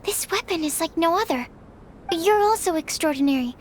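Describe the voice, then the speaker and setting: Very high-voiced earnest young alchemist. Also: female voice, expressive, normal speed, slight background noise. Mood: admiring, curious. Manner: earnest, precise, formal, easily flustered. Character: polite, earnest, shy young female alchemist.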